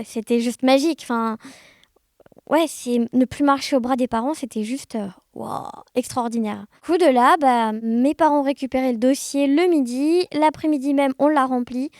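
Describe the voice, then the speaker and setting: High-pitched young woman, expressive. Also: dry recording, studio quality. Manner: animated, expressive, uses sound words. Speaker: podcast guest. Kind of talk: casual conversational storytelling.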